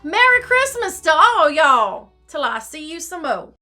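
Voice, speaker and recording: A woman's voice: very high voice, big pitch swings, unhurried, bright, forceful tone, faint music behind, pitched above the performer's own voice, exaggerated voice. A woman voicing a jolly, blustery little old Cajun Saint Nick who hollers and exclaims in dialect, for a children's storybook.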